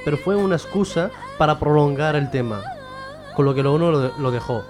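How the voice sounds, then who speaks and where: A man from Western Sahara speaks as a guest in a political radio interview. His voice sits a little high, and he explains in a structured way, asking questions and answering them. Faint music plays behind him. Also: fairly quick. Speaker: guest, member of a Sahrawi association.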